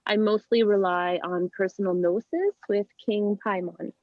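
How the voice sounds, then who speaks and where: A woman at a mid pitch, reading questions aloud in a plain, measured tone. Her sound is dry and muffled, with the highs dulled away. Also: fairly even intonation, dry recording. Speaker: co-host reading out viewer questions.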